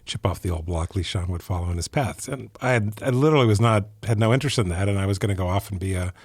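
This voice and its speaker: Middle-aged man from Ethiopia, very deep voice, reflective guest who wanders a bit. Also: quick pace, slightly rough, no echo. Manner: thoughtful, meandering, frequent restarts. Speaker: physician and business executive guest. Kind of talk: casual interview answers.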